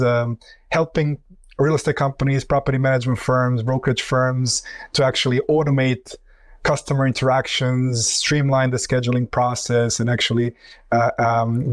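A man with a Croatian accent answers as an executive guest on a podcast. His voice sits at a medium pitch and he speaks conversationally. The recording is dry, with no room sound. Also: little pitch movement, normal speed, no echo. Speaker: company CEO, podcast guest.

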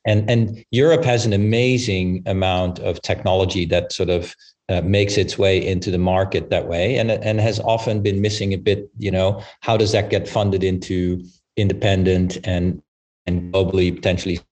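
A man speaking as an interview guest on a business podcast, thinking aloud in a halting, discursive way. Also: sits low, flat delivery, darkish tone. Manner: halting, discursive, frequent "you know" tags. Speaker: investment firm partner, panel guest.

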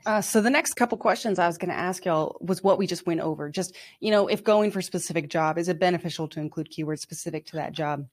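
Female moderator, slightly low voice, casual question-asking delivery. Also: fast, hardly any pauses. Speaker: panel moderator.

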